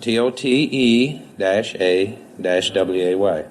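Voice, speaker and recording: A man's voice: low-pitched, takes it slowly, slight background noise, poor audio. A man giving plain, matter-of-fact answers as a quiz contestant on a 1950s radio recording.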